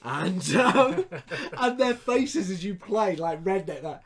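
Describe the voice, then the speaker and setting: A man with a slightly high voice chats casually about games. He tells stories in a jokey, sweary way, acting out bits of dialogue as he goes. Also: big pitch swings, slow, crowd noise. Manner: sweary, jokey storyteller who acts out dialogue. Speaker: podcast co-host. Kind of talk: casual gaming chat with animated anecdotes.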